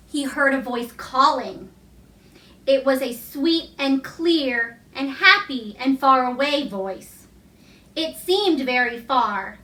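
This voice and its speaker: A woman's voice: high voice, bright, forceful tone. A woman reading a novel aloud as storybook narration. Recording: echoey room, distant mic.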